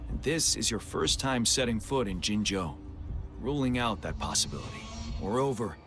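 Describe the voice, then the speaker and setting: A man playing a composed, dutiful army general in a game, speaking in a formal, measured, solemn way. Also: fairly low pitch, close to an everyday voice. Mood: calm, explanatory. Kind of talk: English game cutscene voice acting.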